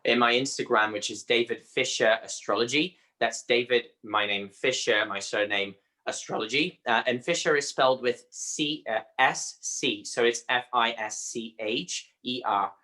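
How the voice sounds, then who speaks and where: A man with a Brazilian Portuguese accent, a native Portuguese speaker, talking as an astrologer guest in an interview and pausing often to search for words. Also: medium pitch, pushed and bright. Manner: halting, searching for words with frequent pauses. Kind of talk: conversational interview.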